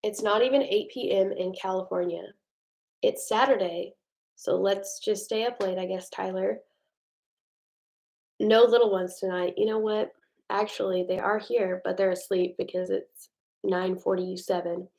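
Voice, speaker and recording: An American woman in a relaxed late-night livestream, talking loosely with her viewers. She reads their comments aloud and pokes fun at herself in an easygoing, chatty way. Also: medium pitch, measured pace, vocal fry. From United States. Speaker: livestream host. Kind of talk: casual live chat with viewers. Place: home at night.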